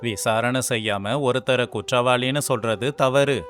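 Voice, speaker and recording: A man playing a humble, wise king in an animated folk tale, speaking formally. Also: mid-range voice, moderate pace, natural voice. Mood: calm, firm. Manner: solemn, moralising, formal. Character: humble, righteous male king, earnest and wise. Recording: music behind the voice.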